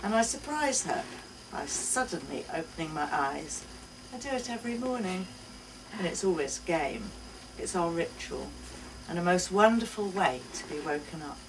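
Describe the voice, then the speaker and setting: A woman giving an expressive first-person reading of a short story at a live event. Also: on the low side, moderate pace. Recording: distant mic, faint room noise.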